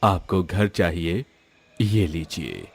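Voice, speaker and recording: A man's voice: low-pitched, sing-song, slow, gravelly, breathy edge, heavily acted. A man voicing a kind magical talking apple that grants wishes, speaking in a reassuring, benevolent manner for a cartoon moral story. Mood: kindly, reassuring. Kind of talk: Hindi animated moral story dialogue.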